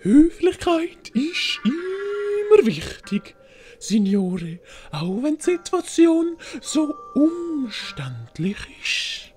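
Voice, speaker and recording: Woman as a slow, pompous duke. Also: high voice, sing-song, exaggerated voice. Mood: stern, courteous. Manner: pompous, measured. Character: haughty, courteous Doge, Duke of Venice. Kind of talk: audiobook character acting. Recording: prominent music behind the voice.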